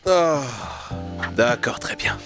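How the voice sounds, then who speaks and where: A man's voice: on the high side, animated, slow pace. A man voicing a crude, impatient little imp in a fan-dubbed adult cartoon, blunt and curt. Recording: music behind the voice.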